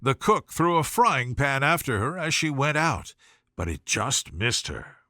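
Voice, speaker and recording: Animated, bright-voiced male storyteller. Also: medium pitch, a little slow, no echo, studio quality. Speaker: audiobook narrator. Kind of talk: scripted children's story narration.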